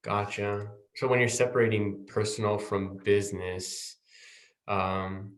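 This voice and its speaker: A man hosting a podcast interview, relaxed and agreeable, with lots of fillers. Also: on the low side, a little slow. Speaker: podcast host. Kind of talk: casual interview. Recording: roomy echo.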